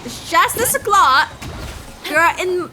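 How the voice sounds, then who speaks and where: A woman giving casual reaction commentary as she plays a game, musing haltingly. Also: extremely high pitch, animated. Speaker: gaming streamer reacting to a game. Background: game music.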